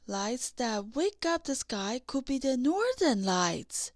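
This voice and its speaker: A woman voicing a kind, steady young mountain man with a firm, reassuring manner in a storybook. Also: high-pitched, slow, dry recording, studio quality, exaggerated voice. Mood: firm, helpful. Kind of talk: children's storybook character reading.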